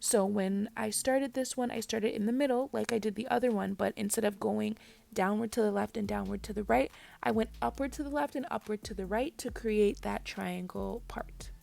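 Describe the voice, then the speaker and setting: Raspy-voiced mom vlogger. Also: female voice, medium pitch, normal speed, darkish tone. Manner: chatty, friendly, talks straight to viewers. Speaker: parent vlogger. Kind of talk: voice-over hair tutorial. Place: home.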